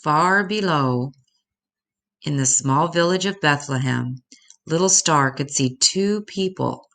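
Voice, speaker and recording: A woman narrates a picture book for children in a low, gravelly voice. Her delivery is expressive storybook reading, with creak at the edges of the voice.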